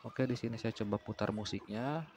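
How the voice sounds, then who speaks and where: A man's voice: fairly low pitch, brisk, a little mellow. Man, casual tutorial narration, explanatory, repetitive phrasing, noticeable background noise. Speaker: DIY vlogger.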